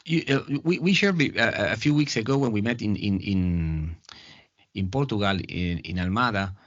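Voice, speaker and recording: A man hosts the interview in a low voice, close and dry but dulled by a lo-fi line. He pieces his questions together slowly, stopping and restarting as he searches for English words. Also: expressive, a bit bright, no room sound. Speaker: podcast host, artistic director. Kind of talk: casual interview conversation.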